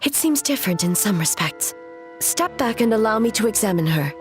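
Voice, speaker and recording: An actress voicing a composed, stern female shogun in an anime game, with measured, formal and authoritative speech. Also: medium pitch, animated, faint music behind, close to an everyday voice. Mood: concerned.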